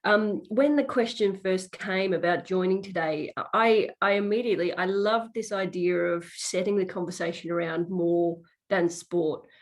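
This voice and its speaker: A woman's voice: on the low side, moderate pace, hardly any pauses. A woman speaking as a guest on an online panel, talking casually with some fillers. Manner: warm thanks, some fillers. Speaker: panel speaker, government director. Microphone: video call.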